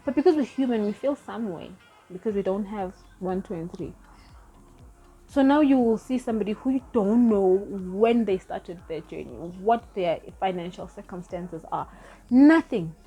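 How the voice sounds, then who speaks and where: Husky, mellow-voiced woman vlogger. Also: medium pitch, expressive, normal speed, muffled recording, soft background music. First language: not English. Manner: rambling, self-correcting, earnest and encouraging. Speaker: spiritual vlogger. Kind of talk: casual spiritual advice vlog.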